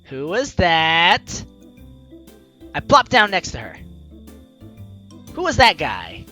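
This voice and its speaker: A woman's voice: on the low side, wildly swooping pitch, slow pace, bright, forceful tone, soft background music. A woman hosting a game playthrough, reading the narration aloud expressively with playful, giggly commentary. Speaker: gaming video host, player reading aloud.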